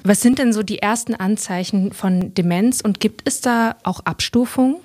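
A woman with a medium-pitched voice asks questions as an interviewer. Her phrasing is casual and conversational, and the sound is dry, with very little room on it. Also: moderate pace, a little mellow, no room sound.

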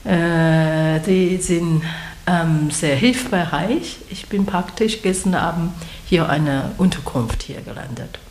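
A woman whose first language is not German interviews a guest in halting German, restarting her words often. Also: on the low side, darkish tone. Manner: halting non-native German with many restarts. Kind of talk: casual interview. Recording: echoey room with faint noise.

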